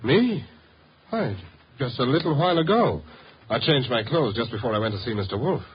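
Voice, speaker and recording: An actor playing a nervous, worried gentleman seeking help, hesitant and stammering, in old-time radio style. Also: medium pitch, sing-song, moderate pace, husky. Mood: defensive. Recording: muffled, noisy 1950s radio recording.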